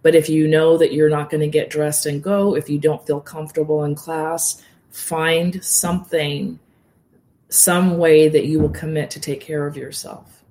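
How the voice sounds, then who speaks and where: A calm woman talking as a guest in an interview, her voice soothing and earnest. She keeps her pitch level and flat, with little rise or fall. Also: measured pace. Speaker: guest, yoga nidra teacher. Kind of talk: casual interview talk.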